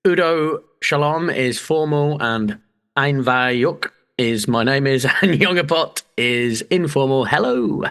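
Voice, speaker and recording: A man's voice: mid-range voice, animated, takes it slowly, creaky, fairly bright tone, clean tone. An English man co-hosting a podcast, chatting casually with a joking, mock-serious manner and casual swearing. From England. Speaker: podcast co-host. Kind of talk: casual chat.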